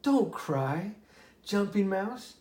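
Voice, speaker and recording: A reader voicing a wise, kindly magic frog with a gravelly voice and gentle, commanding delivery. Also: mid-range voice, very animated, very slow, reverberant, voice pushed up. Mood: comforting.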